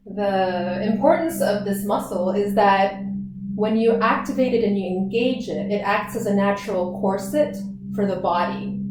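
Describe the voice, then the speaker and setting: A woman teaching gentle yoga, giving calm, unhurried and soothing guided instructions. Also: medium pitch, background noise. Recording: very echoey room, distant mic.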